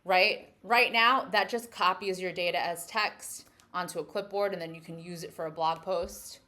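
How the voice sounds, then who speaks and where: A woman with an American accent talks through a demo in a casual, explanatory way. Her voice sits a little lower than most women's. She presents as a content creator, regularly checking that the listener follows. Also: steady tone, normal speed, pushed and bright. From United States. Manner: explanatory, often checking in with "right?". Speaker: travel content creator and host. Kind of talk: casual screen-share app walkthrough.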